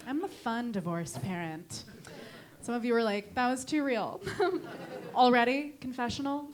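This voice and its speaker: A woman's voice: high voice, fairly bright tone. A young woman from Connecticut doing a stand-up set on a club stage, dry and self-deprecating, with a Connecticut accent. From New England, Connecticut. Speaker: stand-up comedian.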